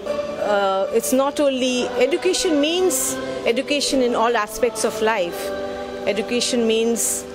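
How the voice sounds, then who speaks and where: A middle-aged woman speaks slowly and deliberately in a somewhat high voice, earnest and measured as she pauses to find her words. She talks into a handheld reporter mic on an outdoor veranda, with clear music playing under her. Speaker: school principal. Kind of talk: news interview. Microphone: handheld reporter mic held close.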